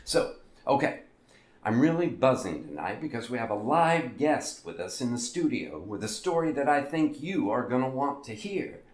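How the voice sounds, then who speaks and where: In a roomy, echoing space, a man plays a quirky show host for an audio drama. His pitch swings freely as he wisecracks in a folksy, theatrical way. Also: sing-song, moderate pace, lightly acted. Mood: playful, hyped. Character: quirky, jokey male host of a weird-tales show. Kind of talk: scripted podcast drama in a radio-show format.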